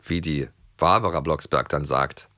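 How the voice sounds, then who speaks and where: A man's voice: extremely low pitch, moderate pace, pushed and bright, no echo, studio quality. A man co-hosting a podcast, chatting casually and colloquially, repeating phrases for emphasis. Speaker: podcast co-host. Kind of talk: casual chat about audio dramas.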